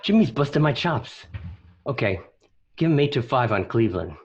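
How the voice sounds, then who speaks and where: A man's voice: medium pitch, big pitch swings, moderate pace, faint music behind. A man playing a stubborn, indignant wiseguy bookie in a radio drama, griping and combative. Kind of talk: amateur radio drama acting. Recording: dull lo-fi home recording.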